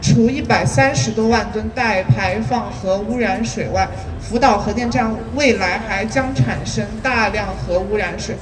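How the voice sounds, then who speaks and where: A woman's voice: medium pitch, normal speed, hardly any pauses. A woman whose first language is Chinese, speaking as a youth climate activist and carefully reading a statement aloud with small hesitations. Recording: distant mic, clear street noise with passing vehicles.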